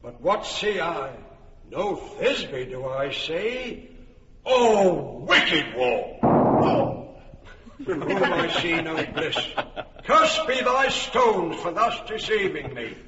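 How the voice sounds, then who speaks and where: An actor playing a bombastic amateur player who hams up a lovelorn hero in melodramatic, declamatory comic verse. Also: male voice, a little high, big pitch swings, fairly bright tone, heavily acted. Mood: indignant despair. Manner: declamatory, melodramatic, drawn-out exclamations. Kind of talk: classical comic stage verse acting. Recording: muffled, echoey hall recording.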